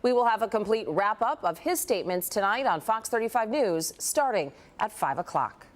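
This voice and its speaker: Female anchor, mid-pitched, even formal read. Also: moderate pace. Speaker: news anchor. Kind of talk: scripted news reading.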